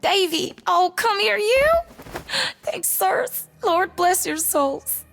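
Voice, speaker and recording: A humble sister in a story game. Her voice is high and crackly, with big swings of feeling. She speaks folksily and protectively. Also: animated, slow, vocal fry, fairly bright tone, faint music behind, full character voice. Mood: tearful relief, tender. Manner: folksy, unschooled, tender speech. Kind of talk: emotional video game dialogue.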